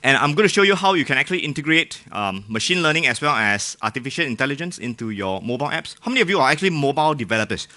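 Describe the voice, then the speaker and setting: Male conference speaker, Singaporean accent, bright forceful voice, mid pitch, informal and jokey, works the audience with questions. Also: big pitch swings, racing, hardly any pauses. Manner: joking, informal, often asks the audience questions. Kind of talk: technical talk to a live audience.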